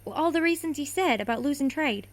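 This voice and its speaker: A feisty, gossipy woman cook with a spirited, chatty delivery. Her voice sits a little high. A woman acts her out in a radio play. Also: lively intonation, quick pace. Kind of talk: amateur radio play acting.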